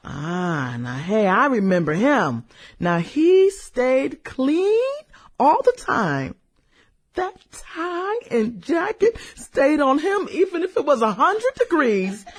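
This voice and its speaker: An actress playing a brooding, vengeful woman musing over old classmates in a radio drama, hesitant and husky. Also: high-pitched, sing-song, slow pace, full character voice. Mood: amused nostalgia. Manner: musing, hesitant, chuckles and hums between thoughts. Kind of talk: radio drama acting, conversational monologue.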